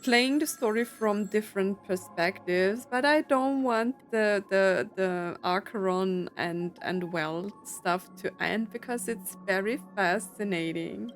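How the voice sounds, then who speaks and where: A woman's voice: mid-range voice, takes it slowly, hardly any pauses. Casual woman gamer commenting over faint music. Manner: informal, reactive. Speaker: gamer, streamer.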